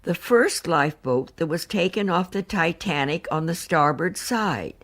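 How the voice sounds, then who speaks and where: An actress playing a married woman survivor, giving composed, earnest testimony in a measured, formal, precise way. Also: fairly low pitch, lively intonation. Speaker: actor reading witness testimony. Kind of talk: dramatised reading of hearing testimony.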